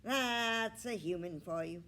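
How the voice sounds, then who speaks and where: A woman voicing a mocking, smart-aleck male donkey with a loud hee-haw in a children's storybook. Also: mid-range voice, takes it slowly, pitched above the performer's own voice. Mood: mocking, amused. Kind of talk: children's storybook read-aloud.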